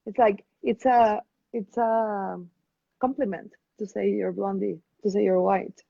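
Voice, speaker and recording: A woman's voice: mid-range voice, fairly even intonation, unhurried, vocal fry, no room sound. Woman, Mexican accent, Spanish native speaker, thoughtful, dry, muffled audio. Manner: thoughtful, searching for words with fillers. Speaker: guest. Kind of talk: casual conversation.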